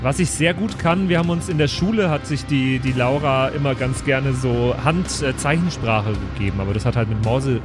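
A man's voice: medium pitch, normal speed, few pauses. A man takes part as a player in an improvised tabletop game. His talk is casual and conversational, with faint music behind it. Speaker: player in a role-playing podcast.

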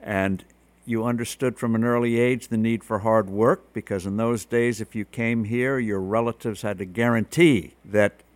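A man hosting an interview show, giving political commentary and asking questions in a measured, conversational way. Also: fairly low pitch, fairly even intonation, no room sound. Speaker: host.

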